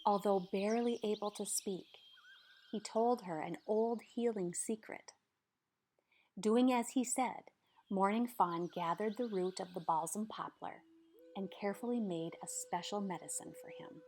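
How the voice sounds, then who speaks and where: A woman narrating a storybook aloud in a measured, expressive way, with dramatic pauses. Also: medium pitch, slight background noise. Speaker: storybook reader. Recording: music behind the voice. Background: background music.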